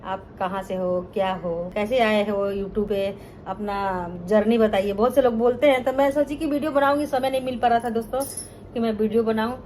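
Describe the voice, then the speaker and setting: A woman's voice: on the high side, a little mellow, a little hiss or hum, low-quality recording. A middle-aged woman from Bihar, telling her life story to viewers in a chatty vlog and often calling them friends. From Bihar, India. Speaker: cooking channel host.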